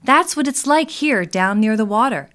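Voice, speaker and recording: A woman's voice: fairly high pitch, expressive, moderate pace, a bit bright. A woman reading scripted narration as a presenter for a radio theatre troupe.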